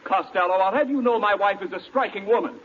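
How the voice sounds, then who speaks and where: An actor playing an affable, easily needled announcer sidekick, speaking in 1940s radio style. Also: male voice, high-pitched, fast, natural voice. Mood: indignant. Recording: muffled, very noisy 1940s radio broadcast.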